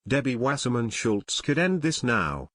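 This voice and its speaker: A man's voice: mid-range voice, very animated, normal speed, fairly bright tone, no room sound. Man narrating scripted political news, dry sound.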